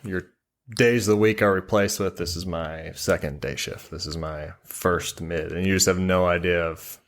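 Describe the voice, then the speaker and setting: A man with a deep, low-pitched voice speaks as an interview guest. He explains his job informally, in short conversational phrases that he softens as he goes. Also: steady tone, moderate pace. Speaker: interview guest, military air traffic controller. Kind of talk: casual explanatory interview chat.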